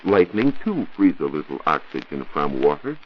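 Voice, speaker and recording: A very deep-voiced American man explains science as a reporter on 1940s radio. He speaks deliberately and instructively, with his pitch moving freely for emphasis. The old recording is muffled, with audible noise. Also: very animated. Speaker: science reporter answering listener questions. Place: radio studio.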